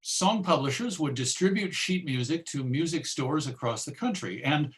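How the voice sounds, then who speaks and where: A man's voice: a little high, expressive, normal speed, a bit bright. A man presenting an informal illustrated lecture in a conversational, explanatory way.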